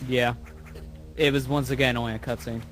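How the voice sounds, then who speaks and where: A man's voice: mid-range voice, flat delivery, fairly quick, faint music behind. A man joking and chatting casually as a co-commentator on a let's play. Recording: faint background noise and ambience.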